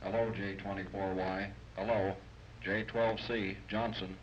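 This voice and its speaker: A faintly noisy, muffled shortwave voice from a 1930s radio play. It is a businesslike operator, speaking in a flat, procedural monotone. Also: male voice, fairly low pitch, a little slow. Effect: voice over shortwave radio. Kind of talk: 1930s radio serial acting. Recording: dull, noisy old radio transmission.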